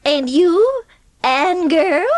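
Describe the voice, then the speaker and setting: A woman playing a wealthy old aunt, gruffly affectionate and emphatic, in a dramatised audiobook. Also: very high-pitched, animated, no echo, full character voice. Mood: fond. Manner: slow, emphatic, exclaiming.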